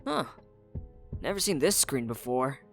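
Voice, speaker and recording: A man's voice: medium pitch, sing-song, unhurried, gravelly, a bit bright, faint music behind, full character voice. A young man playing a cocky teenage hacker sidekick in a comic dub, brash and slangy. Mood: intrigued. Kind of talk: comic book dub acting.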